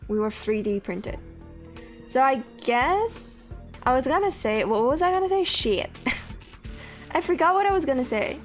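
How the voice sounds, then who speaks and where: Woman game streamer, Cantonese native, high-ish voice, loose and sweary. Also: sing-song, measured pace, pauses often. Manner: chatty, swears freely, laughs often. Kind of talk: casual live-stream chat. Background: faint game music.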